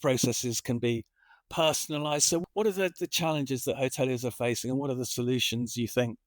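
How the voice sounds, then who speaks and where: A man's voice: mid-range voice, a little fast, no echo. Chatty male podcast host, dry sound. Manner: chatty, repeats himself while framing questions. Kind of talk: corporate podcast interview.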